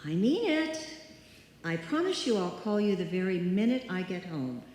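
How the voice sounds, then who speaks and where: A woman's voice: lowish voice, very animated, normal speed, darkish tone. A woman playing a stubborn, proud single woman who puts on bravery, in live old-time radio suspense style. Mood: firm, impatient. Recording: echoey hall, music behind.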